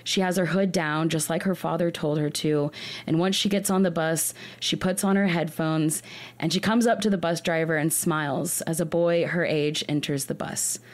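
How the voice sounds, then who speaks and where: A woman's voice: low-pitched, steady tone, normal speed. A woman hosting a true crime podcast, telling a case in an earnest way, often restarting her sentences. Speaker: podcast host.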